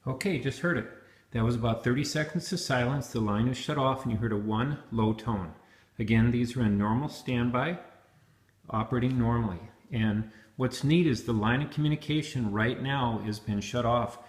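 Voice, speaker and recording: A man demonstrates gear off the cuff, talking through it step by step. His voice sits at an ordinary male pitch, and the recording is noticeably roomy and echoing. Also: moderate pace. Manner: step-by-step, explanatory. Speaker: product reviewer demonstrating helmet intercoms. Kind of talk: hands-on gear demonstration, unscripted.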